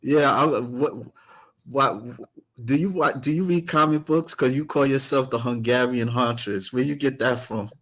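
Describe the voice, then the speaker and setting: A man's voice: medium pitch, normal speed, fairly bright tone. A man hosting a casual interview, asking questions with stammering restarts and short backchannel replies. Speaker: interviewer, host.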